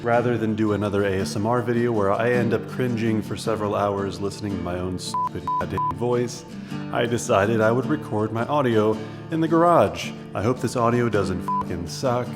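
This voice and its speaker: A man's voice: on the low side, moderate pace. Guy hosting from his garage, offhand and sweary, guitar playing behind him. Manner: profane, offhand. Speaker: host of a video series. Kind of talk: casual self-deprecating vlog intro.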